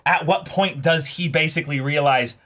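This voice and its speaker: A man co-hosting a reaction show, casually analysing an anime in a wordy, halting way as he theorizes at length. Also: on the high side, a bit bright.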